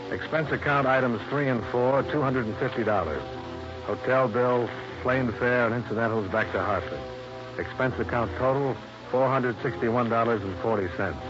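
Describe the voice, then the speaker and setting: An actor playing a wry, world-weary investigator, narrating a 1950s radio detective drama in a dry, hard-boiled way. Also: male voice, on the low side, lightly acted. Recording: muffled old radio broadcast recording.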